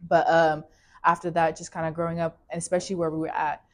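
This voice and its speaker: A young woman from California speaks in a low, fairly flat voice. She talks at an easy pace and leans on casual fillers as she tells her story in an interview. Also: fast talker. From California, USA. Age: young adult. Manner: relaxed, with many fillers like "like" and "you know".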